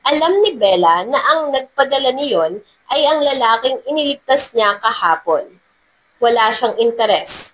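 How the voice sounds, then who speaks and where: High-voiced woman narrating a story. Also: lively intonation, unhurried, fairly bright tone, rough recording. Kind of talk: scripted romance story narration.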